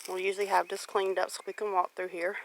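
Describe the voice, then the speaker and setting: A woman's voice: mid-range voice, level tone, fast talker. A woman in her forties with a Southern US accent, chatting casually and unhurriedly as she walks her rural property outdoors with a handheld camera. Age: 40s. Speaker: homestead vlogger. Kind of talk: casual walk-and-talk narration. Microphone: handheld camera or phone while walking. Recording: noisy outdoor handheld recording with clicks.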